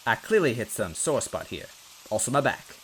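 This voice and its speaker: A man's voice: medium pitch, big pitch swings, moderate pace, a bit bright, slight background noise. A man narrating a comic first-person story as a read-aloud. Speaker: story reader.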